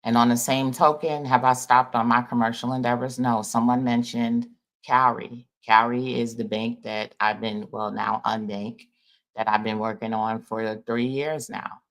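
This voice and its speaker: Gravelly-voiced man in a rambling talk. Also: mid-range voice, flat delivery, a little slow, fairly bright tone, poor audio. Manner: loose, rambling, conversational; folksy and informal. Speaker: spiritual vlogger. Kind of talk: casual spiritual monologue.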